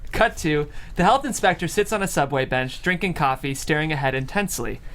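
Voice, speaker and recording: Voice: mid-range voice, expressive, a little fast, a bit bright. A narrator reading out stage directions in a scripted style at a comedy table read.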